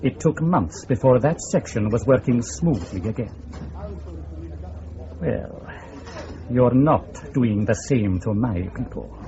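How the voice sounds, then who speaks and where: An actor playing a blunt, wry, middle-aged Scottish intelligence section leader in a radio drama, speaking in a dry, pointed way. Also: male voice, mid-range voice, lively intonation, slow, pauses often, lo-fi sound, background noise. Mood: accusing.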